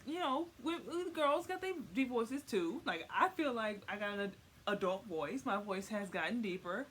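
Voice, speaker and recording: A woman's voice: medium pitch, expressive, normal speed, a bit bright. Rambling young woman vlogger, faint background noise. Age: young adult. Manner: rambling, informal slang, repeats words for emphasis. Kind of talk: casual comic explainer. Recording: faint noise, home recording.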